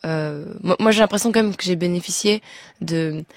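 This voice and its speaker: A woman's voice: on the low side, normal speed, creaky, dry recording, studio quality. A young woman from Belgium with a Belgian French accent, a singer as a radio interview guest, talking hesitantly with many restarts. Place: radio studio.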